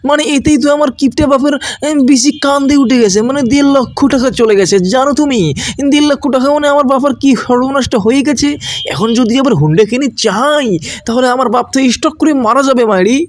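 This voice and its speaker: A man voicing a spoiled, scheming only son in a comedy cartoon, talking haltingly with affected English catchphrases. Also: very high voice. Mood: whiny, dramatic. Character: spoiled, scheming only son demanding gifts from his parents.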